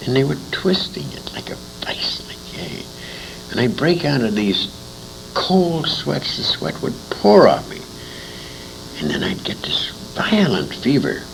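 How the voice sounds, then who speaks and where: Older American male, sixties, low-pitched and animated raconteur, emphatic delivery, noisy old amateur tape with rumble. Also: gravelly. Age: 60s. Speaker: interviewee, former radio actor. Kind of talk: anecdotal reminiscence in an interview. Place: recorded interview at a convention.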